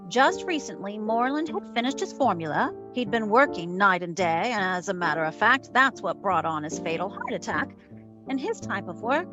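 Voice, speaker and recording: A woman's voice: on the high side, muffled recording, close to an everyday voice. A woman playing a wisecracking, self-assured female private eye in a wry, slangy 1940s radio detective style. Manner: wry, slangy, theatrical storytelling. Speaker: community theatre voice actor.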